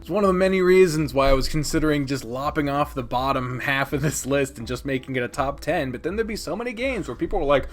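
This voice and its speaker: A man's voice: a little high, quick pace, few pauses. A man giving a casual, opinionated countdown review of games, rambling and emphatic with plenty of swearing. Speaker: video host and game reviewer.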